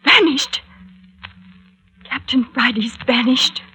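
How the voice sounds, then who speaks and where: A frightened young woman in peril, her voice very high and breathless. She speaks with the heightened melodrama of a 1940s radio thriller, pleading insistently, on a vintage radio recording. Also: slow pace, bright, forceful tone, breathy edge, full character voice. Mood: panicky, dread. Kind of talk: 1940s radio serial acting.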